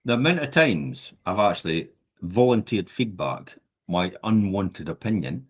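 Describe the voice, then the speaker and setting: Husky man in his fifties. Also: sits low, animated, a little slow. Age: 50s. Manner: blunt, jokey, swears casually. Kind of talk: casual podcast chat. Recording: dull lo-fi sound.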